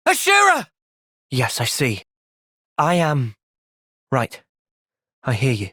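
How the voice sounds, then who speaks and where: A man playing an earnest, thoughtful young soldier and party leader in a video game, sincere and resolute in a measured way. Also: mid-range voice, huge pitch swings, bright, forceful tone, no echo, studio quality, full character voice. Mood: urgent, shouting, then soft, subdued. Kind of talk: video game cutscene acting.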